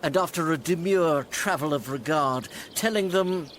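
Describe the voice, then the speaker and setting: A man's voice: a little high, normal speed, a bit bright, slight background noise, close to an everyday voice. An actor playing a pompous, self-deluded steward in a Shakespearean radio comedy, savouring his grand fantasies theatrically. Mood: pompous daydreaming.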